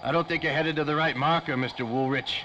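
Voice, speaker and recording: A man playing a jittery, bright-voiced sidekick. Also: fairly high pitch, steady tone, fast talker, lo-fi sound, slight background noise. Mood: uneasy. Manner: jittery, deferential. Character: nervous, superstitious helper to a detective. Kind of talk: 1930s radio drama acting.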